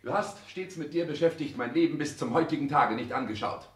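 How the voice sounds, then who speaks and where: An actor playing a cold, ambitious, self-important grown son with formal, clipped speech in a 1960s radio play, recorded roomy and slightly distant. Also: male voice, on the high side, fairly quick, close to an everyday voice. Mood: accusing, cold. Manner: formal, clipped, pompous declamation.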